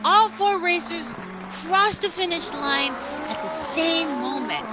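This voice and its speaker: A woman narrating a children's story as its host, in an expressive, dramatic scripted style. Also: extremely high pitch, slow pace, music in the background.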